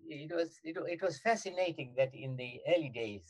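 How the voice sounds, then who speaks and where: An older man with a South African accent, recalling his past in an interview, halting often with many fillers and restarts. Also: fairly high pitch, expressive, a little mellow, poor audio. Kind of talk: reminiscing in an interview.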